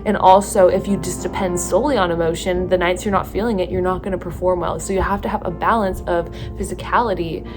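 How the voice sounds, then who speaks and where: A tired young woman from California with a Californian accent, talking slowly and thoughtfully about her stage work, with many fillers and restarts. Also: on the low side, fairly even intonation, music in the background. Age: young adult. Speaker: theatre student vlogger. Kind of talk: casual reflective talk to camera.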